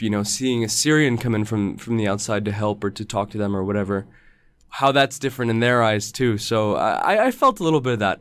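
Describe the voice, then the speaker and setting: A man who grew up in the United States, a podcast guest speaking thoughtfully in casual conversation, with halting restarts. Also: medium pitch, clean tone, dry recording.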